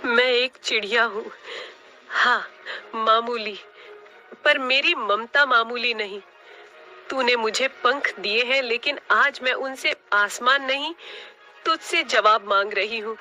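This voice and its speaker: A woman's voice: on the high side, slow, sharp tone, faint music behind, exaggerated voice. A woman voicing an anguished, defiant mother bird in a cartoon story, with impassioned, dramatic delivery. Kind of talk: Hindi cartoon story acting.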